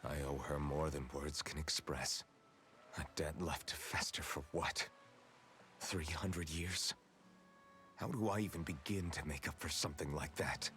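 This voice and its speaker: A guilt-ridden young warrior from a fantasy game, with a very low voice. He speaks earnestly and solemnly, in measured, brooding phrases. Clear ambient noise sits behind him. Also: fairly even intonation, a bit bright. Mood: guilty, solemn. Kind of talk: dramatic video game voice acting.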